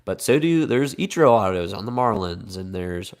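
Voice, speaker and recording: A man's voice: on the low side, expressive, normal speed. A man with a Midwestern American accent hosting a live card break, slightly congested from a cold, chatty and upbeat as he reads out names and teams.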